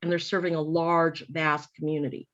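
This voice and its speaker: An earnest woman talks through ideas in a panel discussion, pausing and restarting as she works toward her point. Her voice sits a little low for a woman. The audio is muffled and lo-fi. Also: level tone, a bit bright. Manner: earnest, thoughtful, with frequent restarts.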